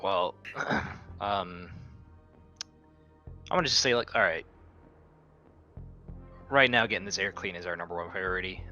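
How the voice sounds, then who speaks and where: A man's voice: lowish voice, pushed and bright. A man playing a tabletop roleplaying game, speaking bluntly and casually with plenty of swearing. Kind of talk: casual improvised in-character game chat.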